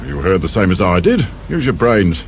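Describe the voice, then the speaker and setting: An actor playing a brusque, world-weary detective inspector with a British accent in a radio drama. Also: male voice, deep voice, big pitch swings, moderate pace. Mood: grumbling, cynical. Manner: sardonic, brusque, grumbling. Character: gruff, cynical, world-weary male police detective inspector. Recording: faint noise, old radio drama.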